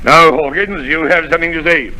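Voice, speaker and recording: An actor playing a blustering gentleman squire in a 1930s radio drama, hearty and formal. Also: on the high side, fairly quick, a little hiss or hum, rough recording.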